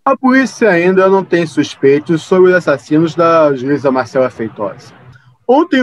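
A man's voice: on the high side, no echo. A man playing a formal television news anchor, reading a bulletin within an audio drama.

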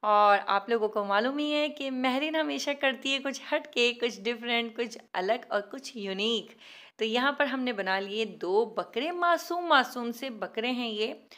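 A woman's voice: a little high, a little slow, fairly bright tone. A woman hosting a home baking tutorial in voice-over, chatty, self-mocking and pleading, laughing at her own work. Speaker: cooking channel host.

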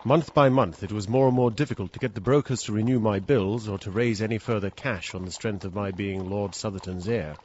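A man playing a polite, anxious, hard-up gentleman with a British accent, speaking formally in dramatic narration and dialogue. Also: lowish voice, a little fast, hardly any pauses, lightly acted. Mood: bitter, worried. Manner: formal, well-spoken. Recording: dull, faintly noisy recording.

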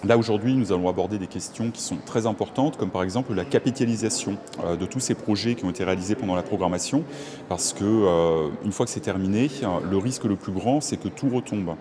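Hesitant man with a mellow, low voice. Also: brisk, a little hiss or hum. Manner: hesitant, many filler sounds, earnest. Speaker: rural network project officer. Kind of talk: conference vox-pop interview. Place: covered walkway of a conference centre.

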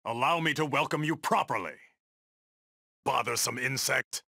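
A man's voice: medium pitch, normal speed, vocal fry, pushed and bright, dry recording, clean studio sound. An actor playing a proud, stern undersea warrior king in a video game, with a regal, defiant, declamatory delivery. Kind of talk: video game battle dialogue.

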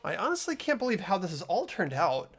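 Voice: mid-range voice, big pitch swings, a little fast, fairly bright tone, slightly rough, faint music behind, exaggerated voice. A performer voicing an earnest, anxious but hopeful teenage boy in a game, with a questioning manner. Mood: hopeful disbelief. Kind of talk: character voice acting in a game fan dub.

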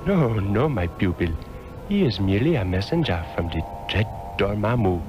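A man's voice: lowish voice, very animated, normal speed. An actor playing an aged, weary mystic master in a 1960s radio drama, solemn and fatherly in formal archaic phrasing. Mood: grave, fatherly. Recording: muffled old radio drama, music behind.

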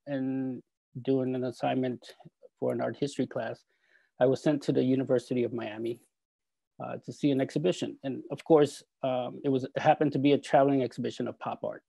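A man in his forties from El Salvador, an artist talking over a video call from his studio, halting with many fillers and restarts. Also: medium pitch, creaky, mellow, slightly rough, no room sound, rough recording. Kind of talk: video call talk with slides. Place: home art studio. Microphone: earphone mic on video call.

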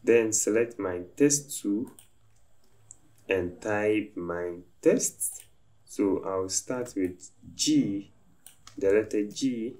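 A man calmly talking through a design software tutorial step by step as he works at his computer. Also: lowish voice. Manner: slow, step-by-step instructive talk. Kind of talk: screen-recorded software tutorial narration.

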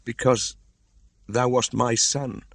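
A man's voice: mid-range voice, level tone, slow, gravelly, no room sound, voice pushed up. A man reading a storybook aloud, voicing a frightened village mother who pleads timidly in a raised voice. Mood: pleading.

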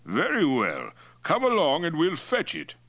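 A male narrator plays a domineering Mongol chieftain in a storybook tale. He is boastful and hearty with menace underneath. The voice is forceful, pushed high and swinging wildly in pitch. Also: huge pitch swings, a little slow, full character voice. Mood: gruff. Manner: blustering, jovial yet menacing, exclamatory. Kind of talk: acted dialogue in audiobook narration.

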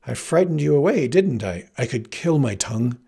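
A man voicing a haughty, lovesick teenage farm girl with affected, gushing, theatrical airs and a husky voice in a storybook reading. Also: mid-range voice, expressive, measured pace, mellow, heavily acted. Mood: wounded defiance.

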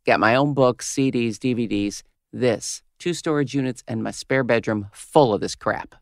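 A woman in her fifties playing a crude, cynical radio host with a smoker's gravelly voice in a comedy audio drama. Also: rumbling low, normal speed, a little mellow, no room sound, clean studio sound. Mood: brash, cynical. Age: 50s. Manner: brash, foul-mouthed, switching to syrupy on air. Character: crude, cynical, hard-bitten 57-year-old female radio host.

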